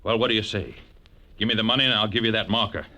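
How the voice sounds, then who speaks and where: An actor playing a menacing, cocky blackmailer and killer with a tough, sneering delivery in a 1940s radio crime drama. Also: male voice, fairly low pitch, fast talker, bright, forceful tone. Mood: threatening. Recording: dull vintage radio recording.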